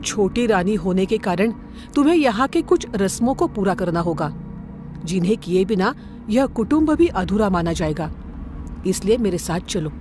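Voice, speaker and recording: A woman's voice: mid-range voice, lightly acted. Woman doing a strict mother-in-law, bossy and cold, music playing behind. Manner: commanding, cold. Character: stern, controlling middle-aged mother-in-law. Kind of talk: Hindi audio story acting.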